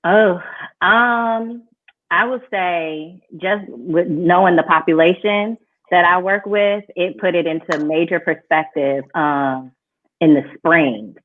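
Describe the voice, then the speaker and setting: Slow-talking woman on a tinny line. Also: on the low side. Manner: measured, hesitant with "um". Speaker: school counselor. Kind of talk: casual anecdote.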